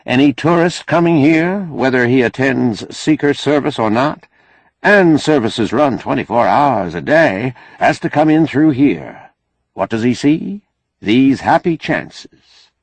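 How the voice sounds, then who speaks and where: A man voicing a folksy, pushy, glad-handing senator who preaches, loud and emphatic, for an audiobook. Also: mid-range voice, animated, a little slow, no room sound, pitched above the performer's own voice. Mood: boastful, preachy. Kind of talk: audiobook character acting.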